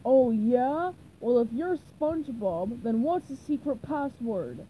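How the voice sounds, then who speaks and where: A woman's voice: a little high, lively intonation, takes it slowly, dull audio, a little hiss or hum. A woman voicing a dim, impulsive male cartoon starfish with a blunt, blurting delivery in a storybook reading. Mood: grumpy, suspicious.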